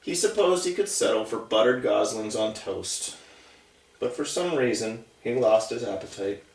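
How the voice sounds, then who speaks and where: A man's voice: on the low side, animated, moderate pace. Echoey man reading a children's storybook. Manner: conversational, asks the listeners questions. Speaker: storybook reader. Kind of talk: reading a picture book aloud to young children, with chatty asides. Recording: roomy echo, distant mic.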